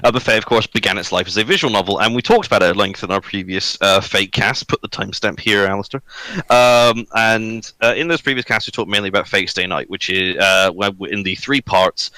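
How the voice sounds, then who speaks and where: A man's voice: lowish voice, fairly quick, sharp tone, dry recording, rough recording. A man chatting casually as a podcast panelist, informal and sweary. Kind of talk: casual chat.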